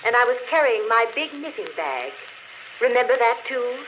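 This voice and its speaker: An actress playing a dead woman who confesses a murder on a phonograph record, speaking slowly in 1940s radio style. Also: a little high. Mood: coolly confessing. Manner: calm, deliberate confession, later frightened. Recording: dull old radio broadcast with faint hiss.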